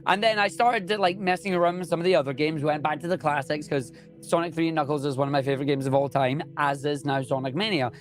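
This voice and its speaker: A man's voice: on the high side, fairly even intonation, fast talker, faint music behind. A young man with a Scottish accent, a speedrunner chatting enthusiastically and jokily in an interview. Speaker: speedrunner guest. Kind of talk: casual interview chat.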